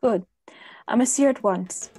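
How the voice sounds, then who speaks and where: A woman's voice: a little high, normal speed, mellow, lightly acted. A woman playing a grave, authoritative professor and physician in a radio play. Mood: grave, urgent.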